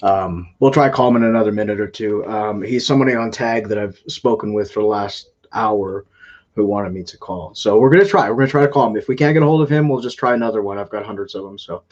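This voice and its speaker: A man hosting a casual live stream, talking in a halting way with frequent filler sounds. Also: fairly low pitch, highs cut off. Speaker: scam-baiting live streamer.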